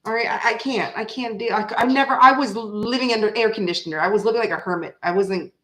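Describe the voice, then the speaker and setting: A woman in her forties, raised in Florida and bilingual in French, with an American accent. She chats casually with live viewers, rambling and breaking into sudden animated bursts. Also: mid-range voice, fast talker, reverberant, rough recording. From Canada, raised in Florida. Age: 40s. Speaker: tarot reader chatting with live viewers. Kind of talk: casual livestream chat.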